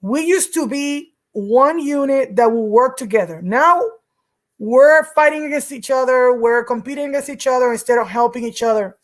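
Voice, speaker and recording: A woman's voice: high-pitched, normal speed. A woman from Rico, hosting her own vlog and talking earnestly to camera, repeating words for emphasis. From Puerto Rico. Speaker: vlog host. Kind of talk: casual talk to camera.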